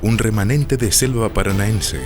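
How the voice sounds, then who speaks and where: A man's voice: lowish voice, moderate pace. A man presenting a TV program in scripted documentary narration. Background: music.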